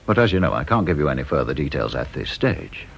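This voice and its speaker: Sardonic British security chief, gravelly, mock-polite radio drama villainy-free wit, dull old recording. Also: male voice, very deep voice, very animated, quick pace, slight background noise, heavily acted. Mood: smooth, authoritative. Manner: dry, teasing, mock-polite. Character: sardonic, talkative male senior security interviewer.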